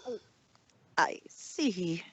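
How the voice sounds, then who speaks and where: A woman's voice: on the low side, lively intonation, extremely slow, slightly rough, no echo, exaggerated voice. A snooty storybook business stallion, voiced by a woman. He is clipped and easily unsettled, heard in a close, dry recording.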